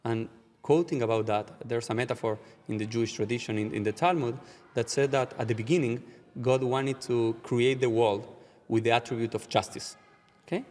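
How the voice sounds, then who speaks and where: A rabbi with an Argentine Spanish accent speaks English in a measured, reflective way, slowing down to weigh his words and checking in with an "okay?". His voice sits in the middle range for a man. A long reverb trails behind him, as if he were recording in a hall. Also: medium pitch, a little mellow. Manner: measured, slows down to stress key ideas, frequent "uh" and "okay?". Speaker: rabbi, guest. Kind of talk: reflective interview answers.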